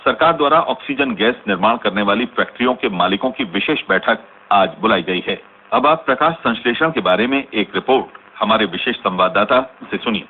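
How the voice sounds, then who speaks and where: Formal male newsreader acted for a radio play: lowish voice, measured and grave, thin noisy radio sound. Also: lively intonation, natural voice. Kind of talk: scripted Hindi news bulletin in radio drama. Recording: noisy, tinny narrow-band radio recording.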